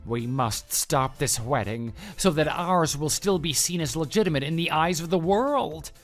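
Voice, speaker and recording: A man's voice: a little high, expressive, normal speed, faint music behind, close to an everyday voice. An actor playing a deluded, pushy male office coworker in love, making florid, earnest declarations in a scripted online drama. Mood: alarmed, urgent.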